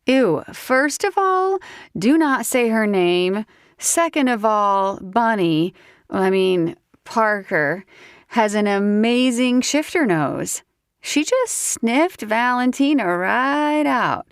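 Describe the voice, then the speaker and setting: Female narrator doing a higher-pitched, sassy, tipsy young Southern woman, giggly and folksy; dry close read. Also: animated, slow pace, clean tone, dry recording.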